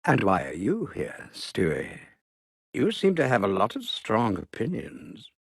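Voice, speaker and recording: An actor playing a patient older male psychologist with a British accent and a measured, formal manner. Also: on the low side, huge pitch swings, vocal fry, no room sound, clean studio sound, full character voice. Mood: patient, probing. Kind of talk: animated sitcom dialogue.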